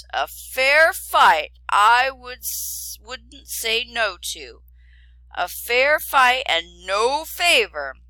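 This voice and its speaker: A grumpy old storybook dragon, huge and rude, growling slowly and loudly as a woman puts it on. The voice is bright and sits close and dry. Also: clear, resonant tone, dry recording, heavily acted, rough recording. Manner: slow, loud, rumbling growl.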